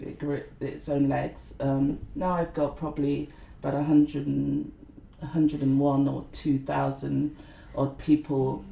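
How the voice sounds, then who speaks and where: A woman's voice: rumbling low, gravelly, mellow, reverberant, poor audio. A middle-aged woman, speaking earnestly as an interview guest and often saying "you know". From London, England. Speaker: guest, blogger and conference organiser. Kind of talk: reflective interview talk.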